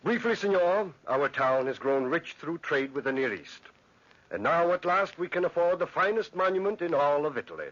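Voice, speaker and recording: An actor playing a dryly patient chief magistrate in old radio drama style, formal and polite. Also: male voice, on the high side, animated, a bit bright. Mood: businesslike. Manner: formal, measured, throat-clearing politeness. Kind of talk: 1940s radio drama acting. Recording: dull lo-fi old radio recording.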